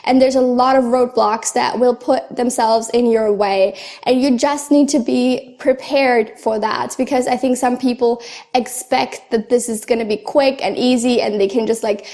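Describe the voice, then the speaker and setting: A young woman shares her language-learning advice to camera. Her voice sits high, and she talks in an earnest, chatty way with easy fillers and small laughs. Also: fairly even intonation, normal speed, mellow. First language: German. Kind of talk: casual talk to camera.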